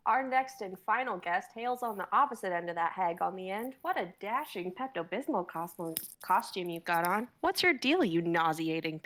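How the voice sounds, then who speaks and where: A woman's voice: medium pitch, animated, moderate pace, few pauses, a bit bright, close to an everyday voice. Woman playing a brash, mocking game show host. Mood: snide. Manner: theatrical, taunting. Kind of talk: comedy sketch table read.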